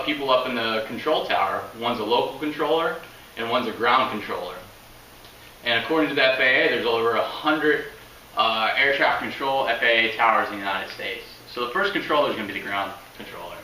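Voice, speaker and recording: A man's voice: mid-range voice, brisk, sharp tone. A young man giving an informal informational speech as a student, recorded indoors by a camera a few meters away. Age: young adult. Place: indoors in a home room. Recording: roomy, distant mic, faint noise.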